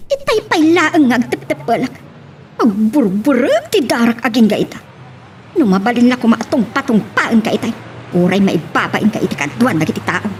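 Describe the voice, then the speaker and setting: A woman's voice: fairly high pitch, very animated, normal speed. A woman playing an emotional, strong-willed woman in an Ilocano family love drama. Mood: angry, accusing. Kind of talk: Ilocano audio drama acting. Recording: faint background noise.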